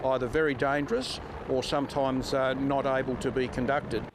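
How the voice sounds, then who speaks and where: A man's voice: mid-range voice, flat delivery, moderate pace. A man giving a spokesman's statement in a news interview. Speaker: emergency services spokesman. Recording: clear outdoor traffic noise behind.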